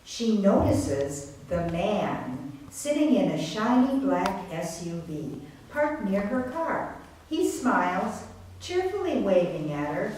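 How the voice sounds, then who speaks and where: A woman's voice: medium pitch, very animated, takes it slowly, darkish tone. A woman narrator reading scripted dramatic narration for a readers theater piece. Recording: very echoey room, distant mic, faint noise.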